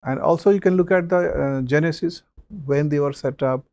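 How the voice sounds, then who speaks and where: A man, a mock interview panelist giving measured advice with many pauses and fillers. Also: fairly high pitch, dark tone, dry recording.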